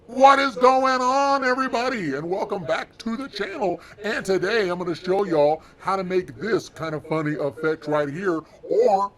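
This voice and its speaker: A man delivering a YouTube intro greeting, his voice altered by a pitch shifter effect. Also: expressive, moderate pace, few pauses, a bit bright. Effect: pitch shifter applied to voice.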